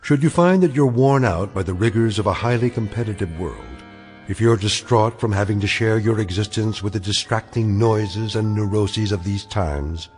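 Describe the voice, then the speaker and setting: A man's voice: lowish voice, a little mellow. A man delivering a dry, sardonic scripted closing narration, music beneath. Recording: with music underneath.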